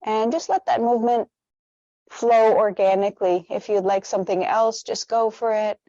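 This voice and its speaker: A woman leading a guided meditation with stretches, speaking in a gentle, soothing, unhurried manner. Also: a little high, fairly even intonation, no echo, highs cut off.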